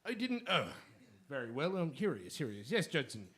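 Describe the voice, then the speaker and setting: An actor playing a falsely polite, slippery blackmailer in a radio drama, with fussy, hesitant phrasing. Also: male voice, fairly high pitch, very animated, moderate pace, fairly bright tone. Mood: flustered politeness. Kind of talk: theatrical radio drama acting.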